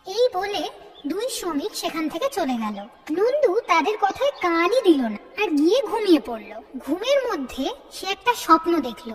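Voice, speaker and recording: A woman's voice: very high voice, very animated, normal speed, in a large hall, over loud music. A woman narrator reading a scripted fairy tale for a children's cartoon.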